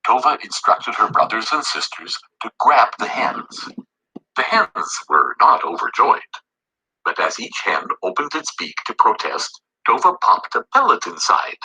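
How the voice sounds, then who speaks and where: A man narrating a children's story in an animated, scripted read-aloud style. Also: lowish voice, measured pace, breathy edge, low-quality recording.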